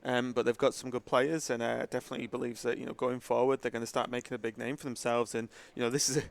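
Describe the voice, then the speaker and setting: A male esports caster gives conversational live commentary at the desk. He talks quickly, in a clean, smooth voice of medium pitch. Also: little pitch movement.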